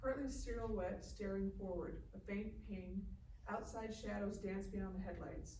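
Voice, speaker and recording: A woman's voice: medium pitch, steady tone, a little slow, dark tone. A woman reading stage directions as scripted narration at a live table read. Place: live event room at a film festival. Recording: echoey, distant, noisy room with crowd.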